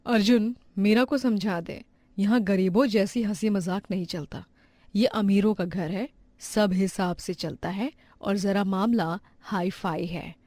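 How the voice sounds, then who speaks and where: A woman's voice: medium pitch, fairly even intonation, measured pace, warm tone, dry recording, natural voice. A woman playing a stern, proud older mother-in-law in a dramatized moral story. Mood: haughty, stern.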